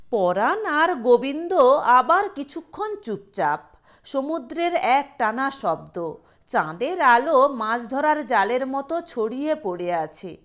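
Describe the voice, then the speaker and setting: A woman narrating a literary short story in a deliberate, expressive storytelling manner. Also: high voice.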